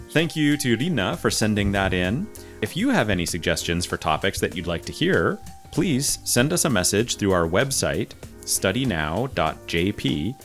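A man hosting a casual podcast, chatting easily and reading out listener topics and news items. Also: lowish voice. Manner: relaxed, conversational, reads out topics. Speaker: podcast host.

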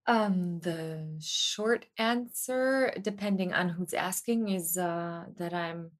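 Slightly low-voiced woman, searching for words. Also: slow pace. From Freiburg, Germany. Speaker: interview guest, violist. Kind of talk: casual reflective conversation.

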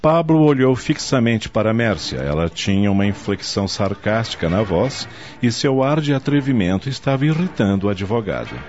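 A man's voice: lowish voice, very animated, moderate pace, few pauses, darkish tone. A man narrating a radio soap opera in a dramatic, scripted style. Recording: muffled audio with music behind.

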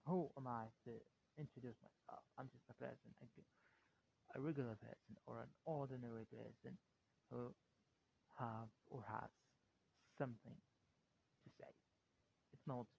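A man's voice: vocal fry, darkish tone. A man from Morocco, a Darija speaker, talking in an unscripted solo podcast, hesitant and waffling as he searches for words. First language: Moroccan Arabic (Darija). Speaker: podcast host. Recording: dull, muffled recording with faint rumble.

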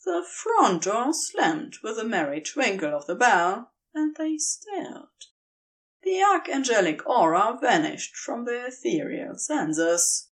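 Unhurried woman reading fiction expressively. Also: a little high, big pitch swings. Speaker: audio fiction reader. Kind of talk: expressive scripted story narration.

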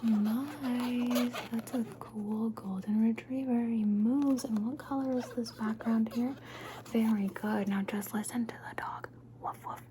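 A woman's voice: fairly high pitch, fairly even intonation, unhurried, background noise. A woman performing an ASMR personal-attention roleplay, soothing and playful, repeating words and short phrases over and over.

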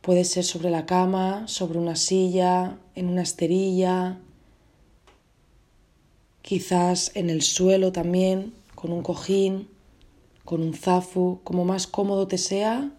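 A woman guiding a meditation, giving gentle, unhurried instructions in short phrases with pauses between them. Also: fairly low pitch, little pitch movement. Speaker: meditation guide. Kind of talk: guided meditation.

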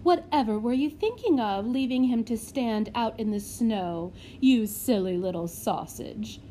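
A woman voicing a fawning, gushing matron who flatters a visiting gentleman. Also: on the high side, unhurried, a little hiss or hum, natural voice. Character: fawning, gushing middle-aged woman running a house for children.

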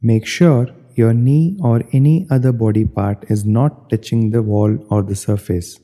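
A man with a very soft, dark tone leads a guided meditation. He speaks slowly in measured, instructional phrases with space between them. His voice carries a long, hall-like reverb. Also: lowish voice, fairly even intonation.

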